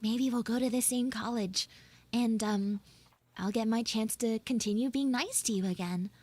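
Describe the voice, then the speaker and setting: Woman acting a high-pitched, flustered tsundere schoolgirl. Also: slightly rough, no room sound. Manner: rambling, halting, stammering, dramatic pleading. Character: flustered, pleading tsundere schoolgirl with a frog on her head. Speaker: live audio roleplay streamer. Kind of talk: improvised live character roleplay.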